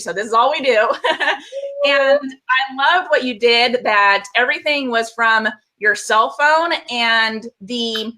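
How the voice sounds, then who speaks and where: A woman's voice: a little high, pushed and bright, poor audio. A woman hosting a live online interview, bubbly and chatty, full of "like" and laughs, on a video call mic. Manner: bubbly, fast, fillers like "like". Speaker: host.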